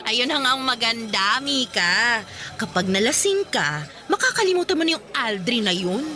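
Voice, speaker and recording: Bold, animated high-voiced best friend. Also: female voice, moderate pace. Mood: egging on. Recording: music bed behind.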